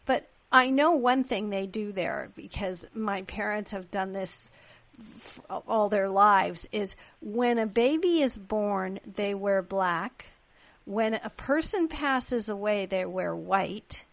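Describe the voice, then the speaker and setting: A woman's voice: mid-range voice, slow, no echo, dull audio. A woman from Pakistan hosting a radio interview, chatty and affirming, with many small agreements. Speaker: radio show host.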